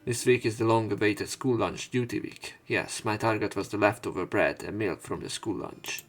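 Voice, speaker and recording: Low-voiced man reading a visual novel. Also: fairly even intonation, normal speed. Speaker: let's play streamer. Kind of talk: reading visual novel narration aloud with casual commentary.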